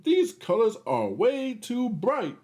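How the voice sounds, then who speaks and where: A man reads a children's picture book aloud in a high, very lively voice. He takes his time with the story and drops in casual, encouraging comments as he goes. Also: slow pace. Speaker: host of a children's read-aloud series.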